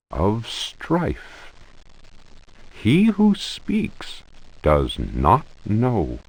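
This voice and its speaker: Slow, deep, portentous occult narrator. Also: male voice, dry recording, full character voice. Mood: grave warning. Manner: solemn, portentous, theatrical. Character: grave male narrator of an ominous occult manuscript. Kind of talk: dramatic scripted reading of arcane text.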